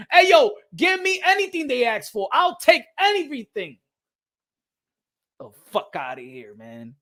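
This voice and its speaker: A man from the Dominican Republic hosting a boxing podcast, ranting heatedly on a live stream, reading chat, mimicking others and swearing. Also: very high voice. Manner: excitable, swears, mimics others, switches into Spanish.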